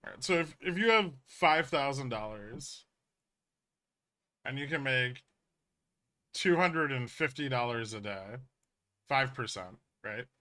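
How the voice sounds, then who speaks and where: A man with a bright, forceful voice at a middle pitch talks as a livestream host. He explains in a casual, blunt and emphatic way, like an educator chatting with viewers, and swears freely. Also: expressive, measured pace, long pauses. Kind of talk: casual livestream chat, answering viewer questions.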